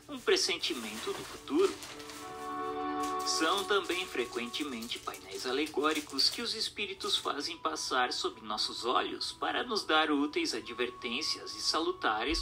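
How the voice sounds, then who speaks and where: A man reading a formal text as scripted narration in a measured, didactic manner. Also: a little high, bright, forceful tone. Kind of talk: scripted audiobook-style narration of a spiritist text. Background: music.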